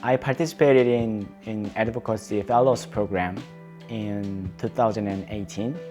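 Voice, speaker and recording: A young man from North Korea with a Korean accent, speaking English as a second language in an earnest, halting way, often restarting his sentences. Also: fairly low pitch, darkish tone. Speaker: advocacy speaker. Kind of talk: interview testimony.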